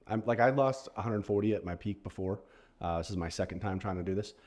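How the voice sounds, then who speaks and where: A man's voice: lowish voice, fast, a little mellow. A man co-hosting a podcast in a casual, bantering style, often joking with his co-host.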